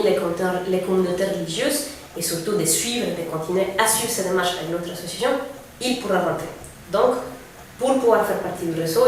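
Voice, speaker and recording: Brief: woman, 30s, from Mexico, explains things steadily with fillers, very echoey room, mic set well back. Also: lowish voice. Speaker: refugee aid coordinator. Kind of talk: presentation to camera. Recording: very echoey room, distant mic, faint noise.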